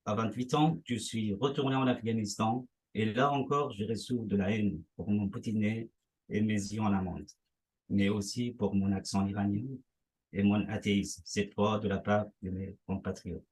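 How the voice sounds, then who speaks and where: A man with a low, husky voice reads a prepared text about his own life. He delivers it in short, measured lines with a pause after each one. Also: steady tone, darkish tone, rough recording. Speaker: panelist reading his life story. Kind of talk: reading a prepared text aloud.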